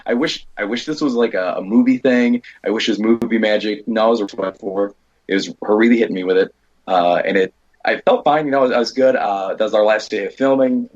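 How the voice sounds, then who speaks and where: An American man with a low voice and a husky edge talks casually as an interview guest. He rambles and acts out bits of dialogue as he goes. The audio is dull and lo-fi. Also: brisk. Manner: rambling, animated retelling with quoted dialogue. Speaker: guest, indie horror filmmaker and stunt performer.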